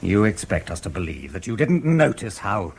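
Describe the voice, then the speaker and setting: An actor playing a polite, probing British intelligence interrogator in a radio drama, courteous, measured and insinuating, with a husky voice. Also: male voice, on the low side, big pitch swings, slight background noise. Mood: pressing.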